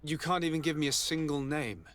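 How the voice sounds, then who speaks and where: An actor playing a composed, courteous but pressing investigator in a video game. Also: male voice, fairly high pitch, fairly quick, fairly bright tone, lightly acted. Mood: polite, probing.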